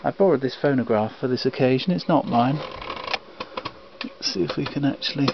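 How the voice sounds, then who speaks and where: A man's voice: mid-range voice, a little fast, vocal fry. A man presenting antique recordings to viewers in a theatrical, mock-formal announcer's manner. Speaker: collector presenting antique phonograph cylinders. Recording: dull lo-fi sound with faint noise.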